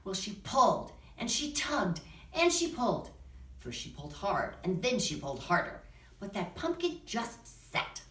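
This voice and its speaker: A woman's voice: medium pitch, very animated, a little slow, fairly bright tone. A woman drama teacher presenting and narrating for children's theater, in instructional talk and storytelling. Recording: echoey room, distant microphone.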